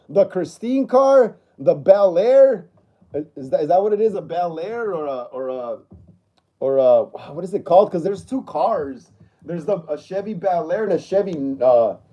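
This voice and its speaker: A man in his thirties with a notably high voice hosts a relaxed livestream chat. He reads viewer comments aloud, stumbles and repeats words, and keeps calling people bro. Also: highs cut off. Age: 30s. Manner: laid-back, stammers and repeats himself, says bro a lot. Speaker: livestream host.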